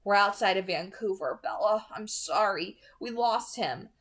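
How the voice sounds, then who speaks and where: A woman playing a brooding, protective young man in love, softly reassuring, in a novel read aloud. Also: medium pitch, lively intonation, unhurried. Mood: apologetic. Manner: soft, reassuring, earnest. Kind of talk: audiobook-style character reading.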